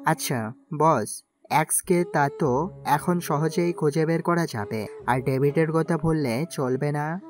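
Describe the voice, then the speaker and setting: A man's voice: a little high, takes it slowly. A man voicing a brooding, vengeful gangster in an audio story, curt and commanding. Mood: grim, resolute. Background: faint music.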